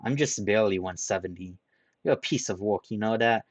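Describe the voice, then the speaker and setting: A man reading a story aloud, voicing a loud, boastful young man who picks fights and brags. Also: on the low side, dry recording, lightly acted. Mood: brash, indignant. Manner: shouting, bragging, quick to pick fights.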